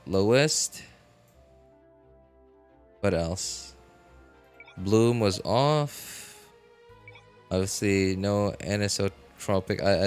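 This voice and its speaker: A man with a fairly low voice chats casually on a gaming live stream. He talks slowly and haltingly, thinking out loud, with music behind him. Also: clean tone.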